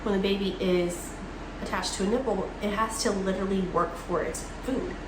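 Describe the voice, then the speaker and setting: A young adult woman getting over a cold, giving a casual, halting educational talk to camera as a dental hygienist vlogger from the United States. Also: medium pitch. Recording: echoey room with loud background noise.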